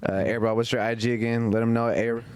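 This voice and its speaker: A man co-hosting a casual podcast, laughing along and quick to agree. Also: mid-range voice, level tone, darkish tone, clean tone, dry recording. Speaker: podcast co-host.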